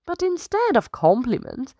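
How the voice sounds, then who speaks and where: A woman reading a children's story aloud as a storyteller, with dramatic, deliberate emphasis. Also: sits high, huge pitch swings, dark tone, slight background noise.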